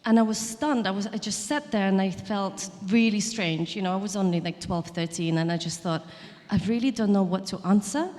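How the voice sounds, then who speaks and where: A woman from Ukraine, a panel guest, speaking earnestly in halting conversational talk with many fillers and restarts. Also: medium pitch, darkish tone. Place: event hall. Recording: long hall reverb.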